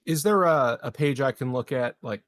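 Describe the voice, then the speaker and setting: A man's voice: mid-range voice, normal speed, a bit bright. A man talking casually as a player in a tabletop game, starting his sentences hesitantly and repeating words. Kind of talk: casual chat.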